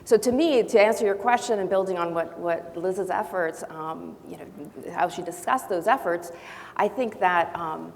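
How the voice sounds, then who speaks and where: A woman from Brazil and Honduras whose first language is not English, speaking as a panelist with thoughtful pauses and restarts. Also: lowish voice, creaky. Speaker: panelist, faculty member. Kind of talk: panel discussion. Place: large auditorium. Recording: long hall reverb, faint noise.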